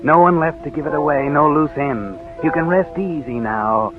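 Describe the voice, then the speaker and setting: Actor as a taunting 1940s radio suspense narrator, tinny. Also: male voice, on the high side, moderate pace, lightly acted. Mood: mocking, ominous. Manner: taunting, addresses the character directly as you. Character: sinister narrator taunting the guilty man, sly and mocking. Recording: muffled vintage radio recording with music.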